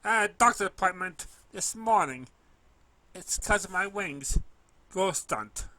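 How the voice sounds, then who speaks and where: A man voicing an upset, gloomy young filly pegasus in a story reading, with loud, tearful, indignant delivery. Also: high voice, animated, slow, dry recording, voice pushed up, heavily acted. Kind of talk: amateur story reading in character.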